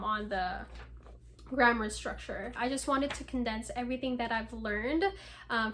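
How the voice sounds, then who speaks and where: Woman vlogger, chatty, lots of ums and likes, kinda high voice. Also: fairly bright tone. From United States. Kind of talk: casual talk to camera.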